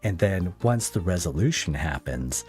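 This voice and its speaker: A man's voice: deep voice, expressive, normal speed, darkish tone, soft background music. A man hosting a podcast, chatting casually with a guest as a fellow video creator.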